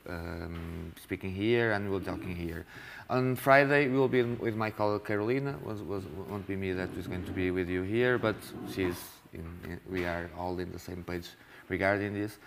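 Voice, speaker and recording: A man in his thirties leading a masterclass in a classroom, talking haltingly with frequent restarts. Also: sits low, steady tone, vocal fry, fairly bright tone. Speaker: workshop leader. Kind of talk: masterclass talk. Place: classroom with projector.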